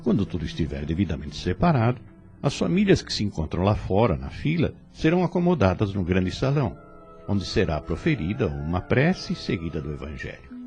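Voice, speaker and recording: Audio drama spirit teacher, kindly and solemn male actor, Brazilian, deep voice, faint music underneath. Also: sing-song, fairly quick, darkish tone, lo-fi sound. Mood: instructive, earnest. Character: calm, kindly male spirit instructor, earnest and devout. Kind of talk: didactic audio drama dialogue.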